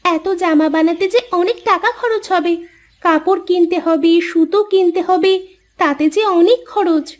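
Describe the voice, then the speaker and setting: A woman's voice: very high-pitched, normal speed. A woman voicing a young village farmer's daughter in a cartoon, earnest, caring and sweetly persuasive. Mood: confident. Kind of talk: Bengali moral-story cartoon voice acting.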